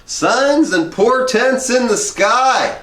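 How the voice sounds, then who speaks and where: Male reader as seedy, eccentric older comedy guru, portentous declaiming, raised pitch, roomy echo. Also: lively intonation, very slow, fairly bright tone, full character voice. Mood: proclaiming.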